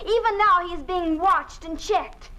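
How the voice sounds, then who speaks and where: A cold, zealous schoolgirl of twelve in a 1950s radio drama. Her voice sits high, and she speaks in a prim, self-righteous way, coolly accusing the adults. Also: measured pace, bright, forceful tone, full character voice.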